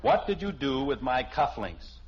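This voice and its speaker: A man's voice: mid-range voice, very animated, a little slow, husky. An American actor playing a blustering, sarcastic middle-aged suburban father in a 1950s radio sitcom. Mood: stern, suspicious. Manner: theatrical, sarcastic, fussy. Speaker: lead actor in a family sitcom. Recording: muffled, faintly hissy old radio recording.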